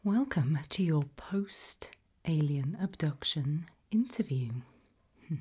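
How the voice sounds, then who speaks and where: A British woman speaking gently and reassuringly, mostly in a whisper, as an interviewer in an ASMR roleplay. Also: low voice, slightly rough. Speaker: ASMR roleplay host playing an abduction investigator.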